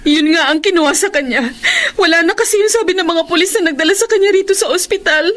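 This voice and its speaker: A worried wife and mother in a Tagalog radio drama. Her voice is very high, with earnest dramatic delivery. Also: fast, fairly bright tone. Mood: distressed, urgent. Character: anxious wife and mother of an injured son.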